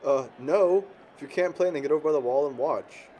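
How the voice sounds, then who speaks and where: A man's voice: fairly high pitch, a little fast, dark tone, a little hiss or hum, natural voice, rough recording. A man acting a cocky, hot-tempered young party bully in an amateur audio drama, with a blunt, mocking manner. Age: young adult.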